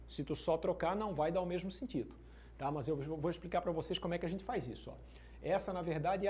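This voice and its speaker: A man's voice: sits high, a little mellow. A male teacher giving an exam-prep lesson at a whiteboard, slangy and emphatic, checking in with students often. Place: classroom at a whiteboard.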